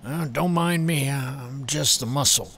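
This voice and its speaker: A man playing a gruff, wry uncle who serves as the muscle in an audio drama. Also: medium pitch, unhurried, fairly bright tone.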